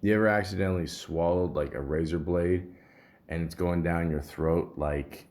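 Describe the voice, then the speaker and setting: A man with a deep, somewhat muffled voice and a sore throat, rambling casually and grumbling with some swearing as a solo podcast host. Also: steady tone, moderate pace, darkish tone. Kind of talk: casual solo podcast rambling, reading symptom lists aloud.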